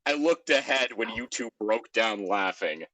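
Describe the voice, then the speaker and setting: Low-voiced man, wry and rambling. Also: animated, unhurried, sharp tone, no echo. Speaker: co-host of a fan fiction reading show. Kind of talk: casual chat, reacting to a story read aloud.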